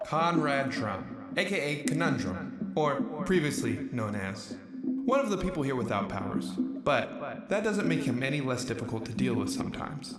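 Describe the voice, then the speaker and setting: A man's voice: mid-range voice, sing-song, normal speed, echoey room, over music, close to an everyday voice. A man giving wry, dry comedic narration in an audio drama.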